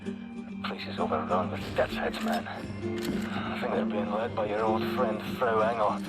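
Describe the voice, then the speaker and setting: A man playing an embattled resistance fighter in a video game, reporting under siege with urgent, gruff military talk. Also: fairly low pitch, measured pace, lightly acted. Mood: grim, strained. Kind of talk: dramatic video game acting. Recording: very loud music behind. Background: loud music.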